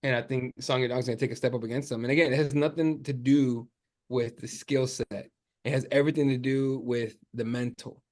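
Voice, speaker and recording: A man speaking English as a second language, talking sports in an animated way, with lots of fillers and restarts as he thinks aloud. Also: medium pitch, fairly quick. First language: not English. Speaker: MMA journalist guest. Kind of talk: casual sports podcast chat.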